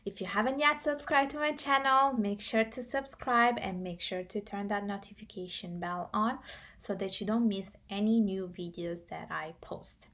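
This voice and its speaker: A woman's voice: medium pitch, moderate pace, fairly bright tone. A young woman who grew up in Italy, talking casually to camera as a vlogger, with many fillers and restarts.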